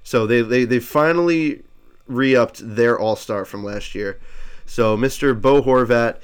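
A man's voice: mid-range voice, a little slow, clean tone. A man co-hosting a casual sports podcast, congested and stuffy, rambling with many fillers and restarts. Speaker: podcast co-host.

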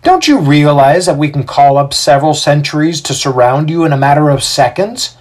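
A man's voice: fairly high pitch, lively intonation, normal speed, voice pushed up. A man voicing an indignant Roman official in a story, haughty and threatening. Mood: indignant threat.

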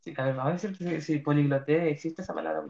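Chilean dude as the interviewer, kinda high voice, rambles, muffled lo-fi audio. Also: male voice, very animated, fast, darkish tone, a little hiss or hum. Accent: Chilean Spanish. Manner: rambling, searches for words. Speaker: mock interviewer. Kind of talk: casual role-played interview. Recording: muffled, dull sound.

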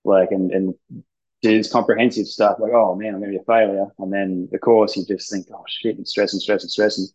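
A man talking in a laid-back, rambling way, swearing casually during a relaxed interview. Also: on the low side, fairly quick. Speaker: gym founder and ice hockey player, guest. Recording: dull lo-fi sound.

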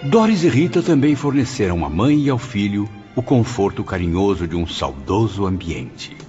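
Solemn dead guy narrating memoirs in radio drama, deep voice, dull sound, bit of music. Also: normal speed. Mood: solemn reminiscing. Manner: solemn, expressive. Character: solemn male spirit recounting his afterlife memoirs.

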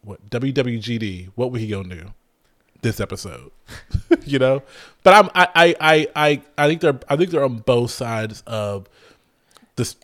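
A man's voice: medium pitch, unhurried. Gushing man reviewing a show. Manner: animated, gushing, frequent restarts and swearing. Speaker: podcast co-host. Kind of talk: casual chat reviewing a TV show.

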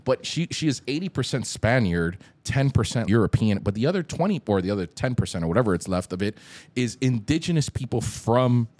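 A man from Miami sits in as a podcast guest. He tells his stories in an emphatic, slangy, relaxed way. His pitch sits mid-range for a man but leaps around with lively swings. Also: a little fast. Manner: animated, emphatic storytelling with slangy asides. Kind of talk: casual chat.

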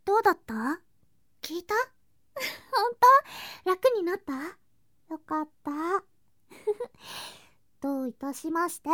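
A woman playing an affectionate, playful younger girl cousin in an intimate ASMR voice drama, speaking softly and coaxingly and slipping into whispers. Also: very high-pitched, animated, slow, pauses often, dry recording, studio quality, exaggerated voice. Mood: caring, affectionate. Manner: soft, sweet, coaxing, drifting into whispers and singsong. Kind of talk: ASMR situation voice drama, intimate roleplay to listener.

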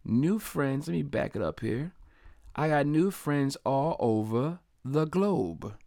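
A man from Delaware hosting his own podcast, talking in a casual, upbeat, chatty way and giving slangy shout-outs to listeners. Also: fairly high pitch, animated, takes it slowly, vocal fry, dry recording, studio quality. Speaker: podcast host. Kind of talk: casual solo podcast monologue.

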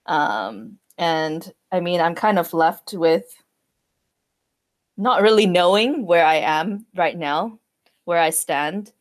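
A young woman from Hong Kong, in her twenties, reflects in a relaxed chat, pausing often with fillers. Her voice sits low for a woman. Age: 20s. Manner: thoughtful, hesitant with fillers. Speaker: guest. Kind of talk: casual conversation.

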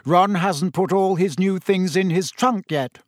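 A man's voice: high voice, unhurried, no room sound, clean studio sound, pitched above the performer's own voice. A man voicing a pompous, dignified teenage older brother with a lofty, long-suffering manner.

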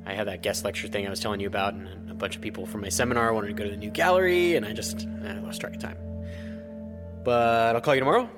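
A man's voice: on the low side, fast talker, lightly acted. Young American male, actor as anxious, sensitive student in love, naturalistic audio drama, scored with music. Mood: apologetic, affectionate. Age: young adult.

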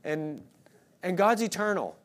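A man's voice: high voice, sing-song, unhurried. A man, preaching an expository sermon in an informal, self-deprecating way with rhetorical questions to listeners. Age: late 30s. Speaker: pastor preaching.

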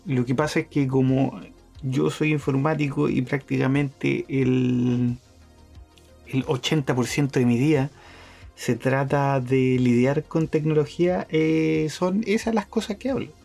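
A man's voice: medium pitch, measured pace, vocal fry, dark tone, faint music behind. A man hosting a podcast, talking through his thoughts in a casual monologue, hesitant, with many fillers and restarts. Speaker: podcast host.

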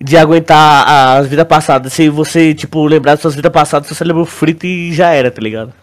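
A Brazilian man with a fairly high voice talks like a podcast co-host among friends. His speech is slangy and informal, and he circles back over words while working out his point. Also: fairly quick. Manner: slangy, repeats words while searching for phrasing. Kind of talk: casual chat, theorizing about a show.

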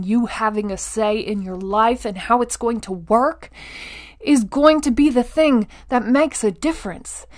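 A woman hosting a motivational monologue, speaking directly to the listener with emphatic phrasing, deliberate pauses, repetition and rhetorical check-ins. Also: a little high. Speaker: podcast host.

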